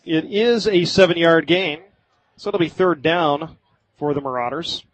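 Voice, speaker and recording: A man doing live radio play-by-play of high school football in short, clipped phrases. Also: unhurried, rough recording. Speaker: play-by-play sports announcer.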